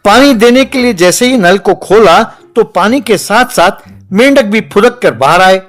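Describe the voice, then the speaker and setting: A man's voice: high-pitched, expressive, measured pace, a bit bright, soft background music. A man narrating a scripted children's story as its storyteller.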